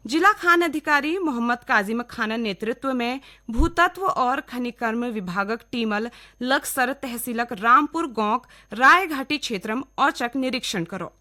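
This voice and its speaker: A woman's voice: on the high side, animated, measured pace, bright, forceful tone. A woman with a Kumaoni accent reading scripted regional radio news. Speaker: newsreader.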